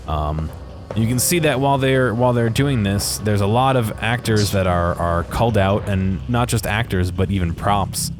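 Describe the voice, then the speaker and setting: A man giving casual, unscripted commentary over game footage in a hesitant, halting way. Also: fairly low pitch, over music. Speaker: video creator narrating game footage.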